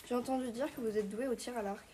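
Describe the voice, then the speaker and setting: Woman interviewer, quick question reading, mellow. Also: a little high, steady tone, a little hiss or hum.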